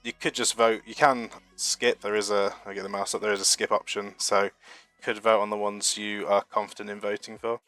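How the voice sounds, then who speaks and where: A young British-sounding man getting over a flu chats loosely through a live stream, rambling and poking fun at himself between fillers. His voice sits low and stays fairly flat, heard up close and dry, with faint music under it. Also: brisk, no echo. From United Kingdom. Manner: chatty and rambling, self-deprecating, with frequent fillers. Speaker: streamer hosting a marble racing channel. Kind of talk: casual live-stream chat reading chat messages.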